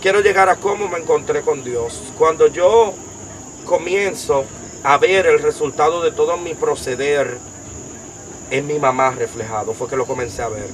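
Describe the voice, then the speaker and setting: A young man with a Dominican accent, giving an emphatic personal testimony as an interview guest, piling up details in long rapid lists. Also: a little high, a little hiss or hum, low-quality recording. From Dominican Republic. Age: young adult.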